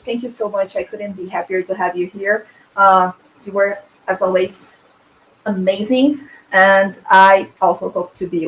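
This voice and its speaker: A woman's voice: medium pitch, rough recording. Chatty woman with a Brazilian accent. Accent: Brazilian Portuguese. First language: Portuguese. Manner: chatty, laughs often, fills with "like". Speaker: webinar host. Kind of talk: casual webinar chat. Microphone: video call.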